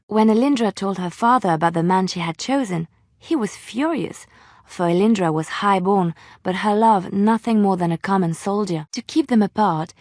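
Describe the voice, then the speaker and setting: Actress as a romantic young bard, closely recorded. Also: female voice, medium pitch, darkish tone, no room sound, studio quality, lightly acted. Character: romantic, devout young woman bard, easily flustered. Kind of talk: video game character dialogue and storytelling.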